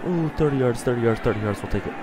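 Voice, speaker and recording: A man with a stuffy voice from a cold, commentating his own video game play and getting excited on big plays. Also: medium pitch, very fast, heavy background noise. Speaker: gaming channel host.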